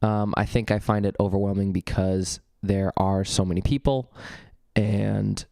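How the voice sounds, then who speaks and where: Low, smooth young man with a cold. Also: steady tone, measured pace, warm tone, dry recording, studio quality. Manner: rambling, many ums and restarts. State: slightly gravelly from a cold. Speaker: podcast host. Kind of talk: casual solo podcast talk. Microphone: studio vocal mic.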